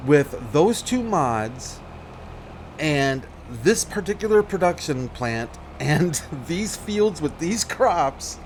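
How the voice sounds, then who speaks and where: A man's voice: mid-range voice, a little hiss or hum. A man giving casual let's-play commentary on a farming game, thinking aloud, rambling and often correcting himself mid-sentence.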